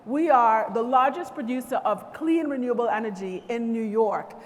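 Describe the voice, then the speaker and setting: A woman from Trinidad and Tobago giving an encouraging keynote speech to students at a conference, speaking in a measured way. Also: fairly high pitch. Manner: measured, encouraging, lists things slowly. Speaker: conference speaker from a power company. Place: large convention hall. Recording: long reverb of a large hall.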